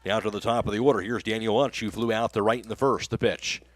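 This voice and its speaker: A man's voice: fairly low pitch, fairly even intonation, fast talker, no echo. A man working as a radio play-by-play announcer, calling baseball and reading sponsor spots. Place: live radio broadcast from a ballgame.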